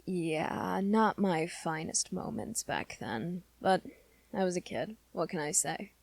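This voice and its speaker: A woman plays an awkward teenage werewolf boy with a low voice full of gravelly creak. He talks haltingly and earnestly, close to the listener as in an ASMR roleplay. His short temper is held back in favour of gentleness, and the sound is dry and intimate. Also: no room sound, exaggerated voice. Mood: earnest, nudging. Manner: hesitant, halting, rambling confession to listener.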